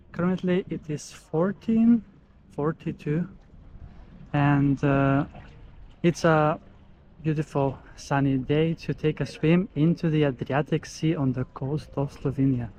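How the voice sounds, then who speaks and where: Man, fairly high voice, enthusiastic travel vlog talk, outdoors by the sea, faint ambience behind. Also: warm tone, slight background noise, rough recording. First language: Macedonian. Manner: enthusiastic, touristy commentary. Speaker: travel vlogger. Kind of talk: casual on-location vlog narration. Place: outdoors on a seaside beach promenade.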